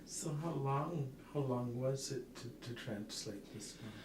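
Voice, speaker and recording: Man, interviewer, quiet and slow, far from mic, echoey room. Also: mid-range voice. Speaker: interviewer, host. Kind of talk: casual interview questions. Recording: distant mic in a roomy space with noise.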